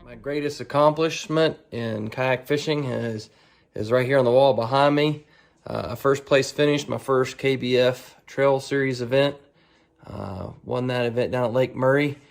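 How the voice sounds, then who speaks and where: A man speaking casually and haltingly as an angler answering a set of profile questions, restarting phrases as he goes. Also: mid-range voice, vocal fry, soft background music.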